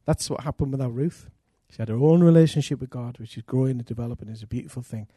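A man's voice: mid-range voice, fast, breathy edge, no room sound, clean studio sound. A middle-aged man, a church pastor, introducing a guest preacher with enthusiasm and leading a prayer. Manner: warm enthusiastic introducer, cheering.

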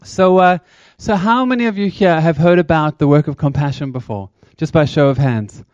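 A South African man in his thirties speaks to a church congregation with a chatty, engaging lilt. His voice sits a little high for a man. The sound is dry and a touch dull. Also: normal speed, darkish tone, no echo. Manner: chatty, engaging, asks the crowd questions. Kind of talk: upbeat church talk to congregation.

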